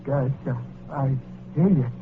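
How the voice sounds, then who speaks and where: An American actor playing a guilty, slow-witted gangster who is haunted, stammering and agitated in a 1940s radio drama. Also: male voice, mid-range voice, close to an everyday voice. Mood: nervous, pleading. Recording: noisy, tinny vintage radio recording.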